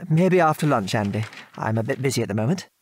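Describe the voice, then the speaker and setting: An actor with a British accent playing a gentle middle-aged father, a former RAF man, reminiscing in an old-fashioned genteel manner in a radio play. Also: mid-range voice, animated, quick pace, breathy edge, no echo. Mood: patient, fond. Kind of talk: radio drama dialogue, reminiscing.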